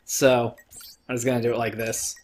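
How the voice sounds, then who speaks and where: A man's voice: mid-range voice, flat delivery, normal speed, faint music behind. Opinionated, sweary guy doing game commentary. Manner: opinionated, emphatic, swears freely. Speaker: let's play host. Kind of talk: casual, profane gameplay commentary.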